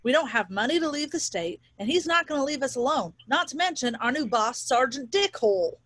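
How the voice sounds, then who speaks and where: A woman's voice: high-pitched, expressive, fairly quick, fairly bright tone, no room sound, studio quality. A woman at a comedy table read playing a blunt, crude, exasperated ex-convict sidekick.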